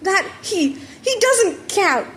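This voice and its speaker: A woman voicing a brash, foul-mouthed teenage boy in an audiobook-style story, snapping and gruff. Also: extremely high pitch, very animated, slow, a bit bright, pitched above the performer's own voice, heavily acted. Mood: flustered anger. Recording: noticeable background noise.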